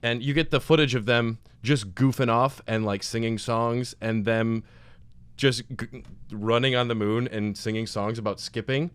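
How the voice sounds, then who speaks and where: A man's voice: mid-range voice, fairly even intonation, moderate pace, clear, resonant tone, dry recording. A man hosting a podcast, chatting in a laid-back, slangy, chummy way. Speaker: podcast host. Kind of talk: casual chat.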